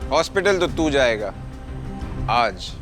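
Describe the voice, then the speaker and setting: An actor playing a wealthy, proud, commanding husband in a Hindi audio drama, boastful and quick to threaten. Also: male voice, mid-range voice, very animated, music in the background. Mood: menacing, defiant.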